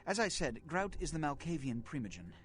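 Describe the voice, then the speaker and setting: Imperious vampire prince, swooping delivery. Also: male voice, on the high side, big pitch swings, fairly bright tone. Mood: condescending. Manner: pompous, formal, measured diction. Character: imperious aristocratic male vampire prince, centuries old, condescending. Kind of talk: video game dialogue acting. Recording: game audio with background music.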